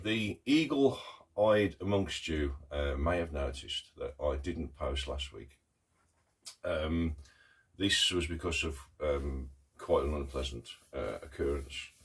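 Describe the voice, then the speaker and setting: Very deep, croaky-voiced man. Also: animated. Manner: halting, many ums and pauses, earnest. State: hoarse with a cough. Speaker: artist vlogger. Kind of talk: casual talk to camera.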